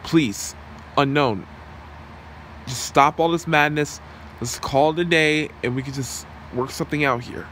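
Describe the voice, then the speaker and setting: A man voicing an earnest, cheeky and defiant young superhero in an amateur ASMR roleplay, quippy and animated. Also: a little high, unhurried. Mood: defiant, pleading. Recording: noisy room hum.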